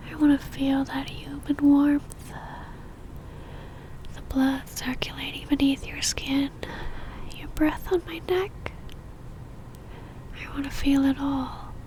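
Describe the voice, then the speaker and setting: A woman playing a possessive, lovesick female vampire in an ASMR roleplay, whispering breathily in a halting, pleading and tender way. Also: sits high, flat delivery, warm tone, poor audio. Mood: tender, needy.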